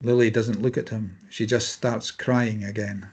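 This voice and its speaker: A man's voice: lowish voice, level tone, a little slow, a little mellow, breathy edge. A man reading stage directions as scripted narration for a drama table read.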